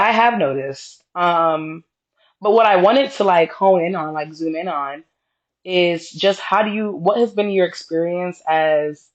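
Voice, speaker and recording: A woman co-hosting a podcast in casual conversation, with a deeper voice and a reserved, straightforward way of talking. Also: highs cut off. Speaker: podcast co-host.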